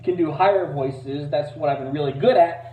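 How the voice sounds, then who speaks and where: A man's voice: moderate pace, dark tone. A man giving a casual, rambling demo of voices as an amateur impressionist, hesitant and self-deprecating. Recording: roomy, distant, dull low-fidelity mic.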